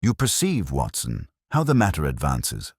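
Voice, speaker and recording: A man voicing a keen, calm Victorian gentleman detective in a storybook, speaking formally with quiet authority. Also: rumbling low, moderate pace, breathy edge, no echo, clean studio sound, full character voice. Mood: grave, purposeful.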